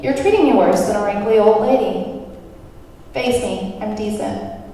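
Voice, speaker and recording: A woman's voice: mid-range voice, measured pace, mellow, natural voice. A woman acting a reckless, teasing free spirit in a script table read, playful, bossy and nonchalant. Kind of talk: acted table read of a screenplay. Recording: echoey hall, far from mic, faint noise.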